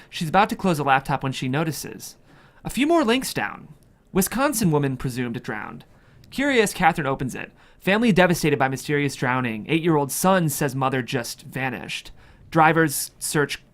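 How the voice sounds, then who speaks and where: Lively high-pitched man narrating a script. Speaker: narrator reading stage directions. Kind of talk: scripted narration at a table read.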